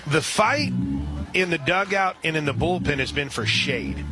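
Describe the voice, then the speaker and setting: A man doing live radio play-by-play for a softball game, chatting between pitches and shouting on big plays. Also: very animated, normal speed, faint music behind. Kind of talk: live radio sports commentary.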